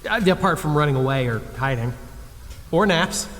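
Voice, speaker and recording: A man's voice: on the high side, expressive, moderate pace, a bit bright. A man playing an insecure young slacker soldier in an audio drama, wry and hesitant, sometimes tripping over his words. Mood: sheepish. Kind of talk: audio drama character acting. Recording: long reverb, faint background noise.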